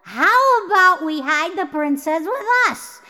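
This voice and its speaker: High, scatterbrained fairy in reverb. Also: female voice, lively intonation, measured pace, pushed and bright, full character voice. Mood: innocent, eager. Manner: hesitant, guileless, casual. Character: naive, sweet, scatterbrained older fairy sister. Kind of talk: fairytale audio drama acting.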